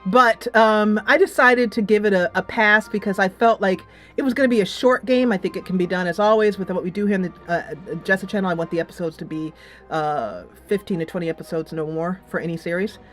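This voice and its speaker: A woman's voice: mid-range voice, fairly quick, fairly bright tone. Chatty woman hosting over background music. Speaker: gaming channel host. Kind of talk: casual game review and upcoming series chat.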